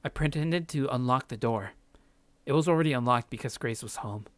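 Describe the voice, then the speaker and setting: A man's voice: mid-range voice, a little fast, dry recording, close to an everyday voice. A man playing a paranoid, sardonic young man narrating his journal in a visual novel, curt and brooding. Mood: tense narration.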